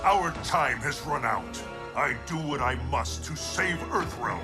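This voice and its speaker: An actor playing a stern, suspicious, commanding thunder god in a video game, speaking in an imperious, theatrical, formal manner. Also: male voice, fairly high pitch, animated, a little slow. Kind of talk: video game cutscene acting. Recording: loud game music behind. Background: loud cinematic music.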